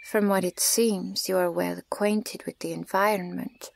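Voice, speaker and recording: A woman playing a stern, guarded, ancient elven archer in an ASMR fantasy roleplay, speaking in a measured, formal and breathy way with a creaky edge. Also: mid-range voice, steady tone. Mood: guarded, appraising. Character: stern, guarded female elven archer, centuries old, scornful of humans.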